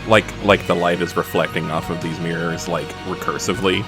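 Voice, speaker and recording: A man's voice: low-pitched, steady tone, a little fast, music in the background. A man chatting casually as a player in a tabletop roleplay podcast, wry and self-mocking. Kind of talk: casual game chat with in-character lines.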